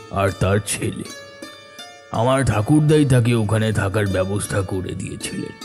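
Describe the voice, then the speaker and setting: A man playing a solemn older villager, reminiscing in measured cartoon storytelling. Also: on the low side, darkish tone.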